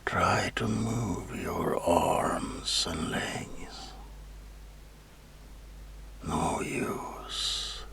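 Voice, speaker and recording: Horror ASMR role: mad asylum doctor, extremely deep, crawling pace, menacing and obsessive. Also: male voice, a bit bright, full character voice. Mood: calm menace. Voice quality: breathy whisper with gravelly creak. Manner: slow, deliberate, menacing, theatrical pauses. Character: sinister mad asylum doctor, over eighty years studying, obsessed with immortality. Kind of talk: whispered ASMR horror roleplay.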